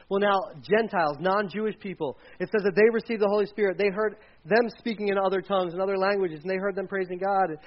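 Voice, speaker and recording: High-voiced man preaching conversationally. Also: highs cut off. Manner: conversational, retells scripture with acted quotes and restarts. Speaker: pastor. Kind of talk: sermon with slides.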